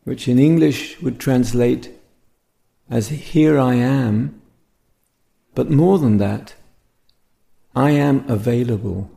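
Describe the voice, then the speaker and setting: A man guiding a meditation as a teacher, speaking gently and contemplatively in short phrases separated by pauses. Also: medium pitch, vocal fry. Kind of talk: guided meditation talk.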